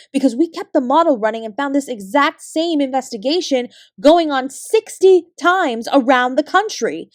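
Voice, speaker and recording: A woman with a Canadian accent playing a guarded, weary fraud investigator, giving a clipped, measured account in an audio drama. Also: high-pitched, no room sound, clean studio sound. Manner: measured, clipped report-like delivery. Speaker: investigator being interviewed.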